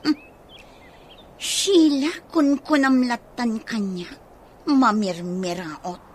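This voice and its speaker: A woman's voice: on the high side, lively intonation, slow, slight background noise. A woman with a Filipino accent playing a suspicious, scornful, jealous wife in a radio drama.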